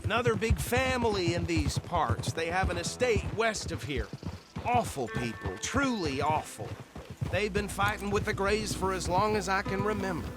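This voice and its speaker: A man's voice: high voice, lively intonation, normal speed. A man playing a chatty, bossy small-town deputy in a video game, with an accent of the American South and a folksy, polite manner. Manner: talkative, folksy, politely formal. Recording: game music behind the voice.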